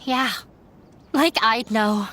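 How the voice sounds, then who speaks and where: Game role: high-pitched young woman, wounded theater runaway, bitter yet earnest and confiding, faint music underneath. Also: very slow, pushed and bright, exaggerated voice. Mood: hurt, bitter. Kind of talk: video game voice acting.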